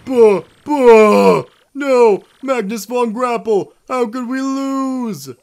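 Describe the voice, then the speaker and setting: A man's voice: very high voice, extremely slow, creaky, darkish tone, voice pushed up, exaggerated voice. A man voicing a blustering villain boss from a video game, bellowing theatrically. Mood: defeated, furious. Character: blustering villain henchman boss piloting a robot.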